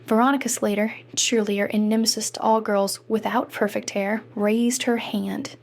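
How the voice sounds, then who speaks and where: A woman voicing a self-conscious, bookish fifteen-year-old girl, narrating in a wry, introspective way for a comic dub. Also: fairly high pitch. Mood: catty. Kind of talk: scripted first-person narration for a comic dub.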